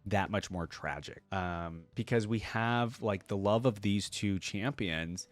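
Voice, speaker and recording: A man's voice: lowish voice, measured pace, soft background music. A man hosting a reaction video, reading stories aloud and commenting on them in a casual, slangy, conversational way. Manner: informal, conversational asides with slangy exclamations.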